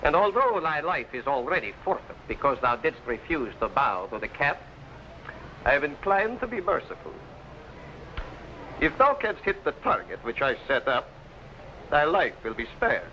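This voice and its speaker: An actor playing a cruel, imperious governor who taunts in archaic speech, in old adventure serial style. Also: male voice, a little high, big pitch swings, moderate pace, pushed and bright. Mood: smug. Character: cruel, haughty male governor, mocking and imperious tyrant. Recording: noisy old broadcast recording.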